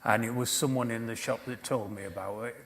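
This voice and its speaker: Hoarse, gravelly man in his fifties. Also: medium pitch, steady tone, a little fast, long reverb tail. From not from Yorkshire. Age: 50s. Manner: self-deprecating, joking, apologetic about his voice. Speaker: panel speaker. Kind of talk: casual storytelling at a public event.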